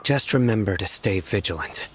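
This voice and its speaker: Stern general for a game, male actor: lowish, clipped orders, deadpan authority. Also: normal speed, heavy background noise, close to an everyday voice. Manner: terse, commanding, deadpan. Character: stern, aloof young male general and enforcer. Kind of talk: video game cutscene acting. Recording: music behind the voice.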